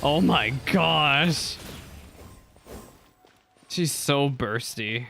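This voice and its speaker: A young man commenting on his own gameplay for his viewers, excitable and quick to complain or cheer. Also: fairly high pitch, vocal fry, soft background music. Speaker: gaming content creator. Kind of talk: live game reaction commentary.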